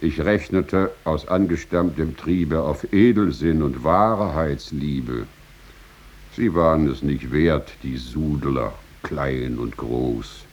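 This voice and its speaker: A man reciting poetry in a solemn, dramatic style, measured, with drawn-out pauses and swelling emphasis. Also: extremely low pitch, breathy edge, muffled recording.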